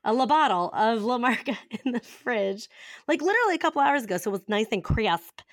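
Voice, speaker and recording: A woman in her thirties co-hosting a podcast, raspy and a little hoarse from a cough, chatting casually and laughing often as she rambles through stories. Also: on the high side, animated, fairly quick, dry recording. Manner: giggly, rambling storyteller who laughs often. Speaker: podcast co-host. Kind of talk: casual chat.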